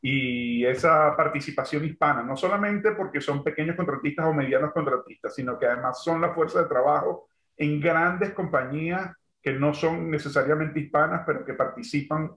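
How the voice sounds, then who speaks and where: Man, guest entrepreneur, slightly high voice, reflective, lots of filler words, echoey room, dull lo-fi audio. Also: moderate pace, fairly bright tone. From Venezuela. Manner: thoughtful, full of fillers like "este" and "digamos, ¿no?". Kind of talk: remote interview. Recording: roomy, dull lo-fi sound.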